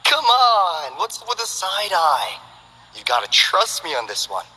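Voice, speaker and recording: A sly, breezy info broker. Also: male voice, high voice, big pitch swings, a little slow, bright, forceful tone, breathy edge, big-room sound, heavily acted. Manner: breezy, teasing, salesman-like banter. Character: sly, smooth-talking male info broker, friendly. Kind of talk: game character voice lines.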